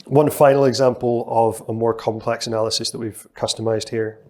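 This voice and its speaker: Man presenting a software demo at a lectern. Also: mid-range voice, a little fast. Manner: explanatory, steady, with frequent fillers. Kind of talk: conference talk with live demo. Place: conference stage at a lectern.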